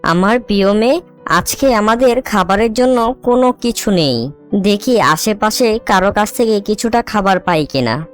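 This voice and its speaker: A woman's voice: mid-range voice, expressive, normal speed, soft background music, lightly acted. A woman voicing a sorrowful, hardworking mother bird in a children's cartoon, earnest and plaintive. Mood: sad, worried.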